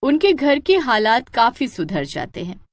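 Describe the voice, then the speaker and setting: A woman narrating a scripted cartoon horror story as a storyteller, in an expressive storybook manner. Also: on the high side, a little slow, dry recording, studio quality.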